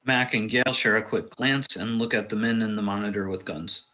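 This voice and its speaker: A man's voice: fairly low pitch, steady tone, normal speed, sharp tone. A man narrating stage directions from a script at a table read.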